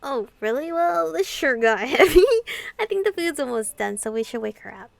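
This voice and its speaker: A woman's voice: sits high, animated, normal speed, gravelly. A young adult woman playing a visual novel, reading dialogue and narration aloud expressively with casual, giggly reactions. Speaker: gaming content creator. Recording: game music behind the voice.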